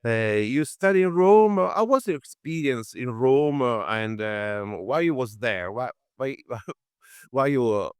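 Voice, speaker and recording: A man hosting a radio interview, asking questions haltingly with many restarts and non-native phrasing. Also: medium pitch, animated, smooth, dry recording, clean studio sound.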